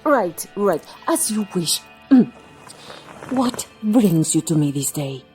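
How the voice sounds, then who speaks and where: A woman playing a commanding, mystical palm reader in an audio drama, coaxing with formal phrases and dramatic, hushed pauses. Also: fairly low pitch, sing-song. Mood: mystical, probing. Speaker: fortune teller. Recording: music under the voice.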